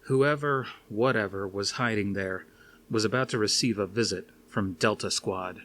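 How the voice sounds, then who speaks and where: A man's voice: lowish voice, moderate pace, a bit bright, a little hiss or hum. A man reading a scripted story aloud as an audiobook narrator. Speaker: audiobook reader.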